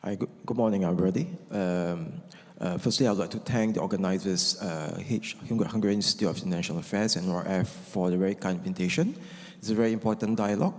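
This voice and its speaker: A man from Singapore speaking as a conference panelist, laying out numbered points in a measured way with some fillers. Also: sits low, darkish tone. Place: conference hall. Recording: reverberant conference hall.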